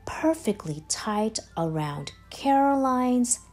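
A woman reading a children's story aloud in a deliberate, expressive way with dramatic pauses. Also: fairly high pitch. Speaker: storybook reader, channel host. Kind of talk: children's read-aloud, scripted narration. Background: background music.

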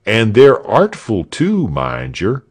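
A man voicing a gruff, uneducated soldier in armor who grumbles in rough dialect. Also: on the low side, huge pitch swings, slow pace, voice pushed up, full character voice. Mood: grumbling. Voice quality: rough dialect, dropped g's. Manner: grumbling, boastful.

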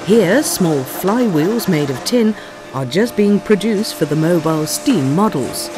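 A narrator reading a scripted factory documentary in a measured, explanatory way. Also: medium pitch, lively intonation. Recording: music bed behind narration. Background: background music.

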